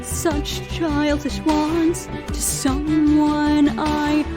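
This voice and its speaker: A woman's voice: high voice, completely flat delivery, very slow, over music. A woman singing a yearning, regretful musical ballad as a lovelorn pony princess.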